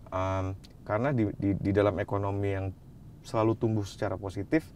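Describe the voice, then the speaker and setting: A man answering interview questions as a wine expert, relaxed, slipping English phrases into his speech. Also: on the low side, brisk, a little hiss or hum.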